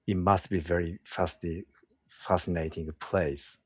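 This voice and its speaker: Slow, low-voiced Japanese-accented man. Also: fairly even intonation, darkish tone, breathy edge, dry recording. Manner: slow, halting, searches for words. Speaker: guest, woodworking craftsman and teacher. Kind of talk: casual interview conversation. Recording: dull, dry lo-fi sound.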